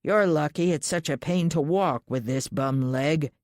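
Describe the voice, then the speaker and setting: A stubborn, loyal small-town man in a thriller audiobook, played by a woman narrator. He is gruff and folksy, with plain-spoken delivery. The sound is low and dry. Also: measured pace, darkish tone, no echo. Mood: gruff teasing.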